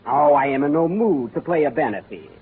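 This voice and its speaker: A man playing a vain, smooth-talking crook in a 1940s radio comedy, with a hammy, mock-romantic delivery. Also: fairly high pitch, lively intonation, fairly quick, very noisy, natural voice. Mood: scheming. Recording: tinny old radio broadcast with audience.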